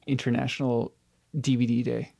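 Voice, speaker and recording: A man's voice: medium pitch, level tone, normal speed, gravelly, dark tone. A man chatting casually as a podcast guest, informal and jokey. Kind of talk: casual chat, answering quick-fire questions.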